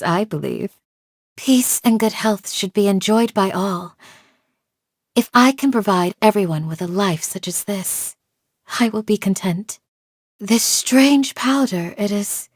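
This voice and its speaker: A woman playing a calm, devoted village guardian in a video game, speaking in a measured, formal, gently solemn way. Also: medium pitch, no room sound, clean studio sound. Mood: musing, then earnest. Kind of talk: scripted game character voice lines.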